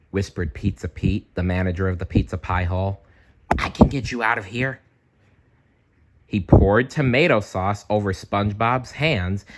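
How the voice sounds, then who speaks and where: A man reading a children's storybook aloud, expressive and chatty, with casual asides. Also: on the low side. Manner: animated, chatty.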